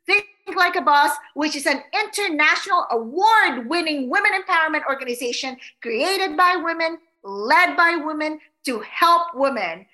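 Bubbly high-voiced woman host. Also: expressive, normal speed, few pauses, sharp tone, poor audio. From Philippines. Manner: bubbly, chatty, many fillers. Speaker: podcast host. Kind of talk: casual interview.

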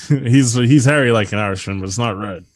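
A man's voice: mid-range voice, normal speed, dry recording. A man hosting a comedy podcast, reading strange stories aloud and breaking into laughter and asides. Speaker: podcast host reading a countdown list.

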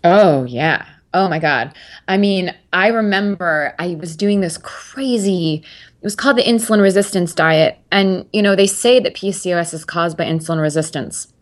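A woman's voice: on the low side, moderate pace. A woman in her twenties talking candidly as an interview guest, chatty and rambling with many fillers and restarts.

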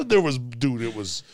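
A young man with a deep voice, chatting casually, swearing freely and rambling through stories. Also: vocal fry. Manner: profane, rambling, laughs easily. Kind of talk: casual podcast chat.

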